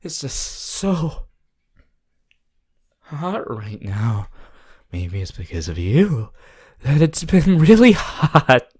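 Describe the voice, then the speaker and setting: An obsessive yandere lover, played by a voice actor in an intimate ASMR roleplay. The pitch swings widely between tenderness and mania. The delivery is very slow, with a husky, breathy edge. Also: sing-song, heavily acted. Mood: playful, needy. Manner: slow, drawn-out, intimate, swelling from whispers to loud outbursts.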